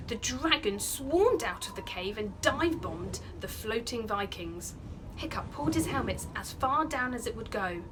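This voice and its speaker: Higher-pitched woman reading a story, noisy background. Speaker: primary school teacher reading a storybook. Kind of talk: expressive storybook narration. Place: small room by a window.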